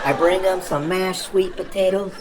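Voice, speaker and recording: A woman voicing a cheeky young male puppet comedian telling exasperated, self-amused stand-up stories with deadpan punchlines and dramatic exaggeration. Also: on the low side, moderate pace, noisy background, lightly acted.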